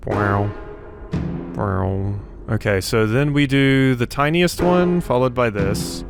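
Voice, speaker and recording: A man's voice: medium pitch, lively intonation, takes it slowly, music in the background. A man doing casual gameplay commentary, muttering to himself as he thinks aloud in a self-deprecating way. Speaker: let's play host.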